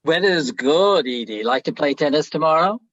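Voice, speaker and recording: A man's voice: fairly high pitch, lively intonation, moderate pace, fairly bright tone, no room sound, lo-fi sound. A man acting in a radio play as an easygoing young student. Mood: carefree.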